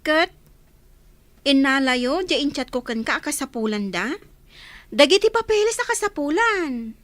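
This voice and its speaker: A woman's voice: sits high, animated, unhurried, a bit bright, no room sound. A woman playing a friendly, chatty young housemaid at a mansion in a radio comedy drama.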